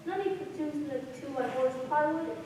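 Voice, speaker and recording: Young girl as naive thrifty piglet, fairy tale stage play, high-pitched, reverberant hall. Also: brisk, lightly acted. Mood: casual. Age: young teen student. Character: thrifty, naive male little pig. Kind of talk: live school stage play of a radio show. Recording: echoey hall from far off, faint noise.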